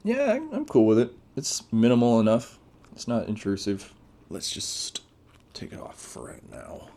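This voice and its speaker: A man's voice: medium pitch, wildly swooping pitch, a little slow, gravelly, dark tone. A man giving casual, off-the-cuff unboxing commentary as a tech reviewer, laid-back and informal with plenty of slang.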